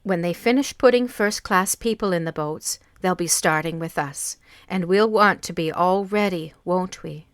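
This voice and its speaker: A woman playing a gentle mother soothing her small child in an audio drama, tender and reassuring. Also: on the low side, moderate pace, hardly any pauses, close to an everyday voice. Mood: tender reassurance.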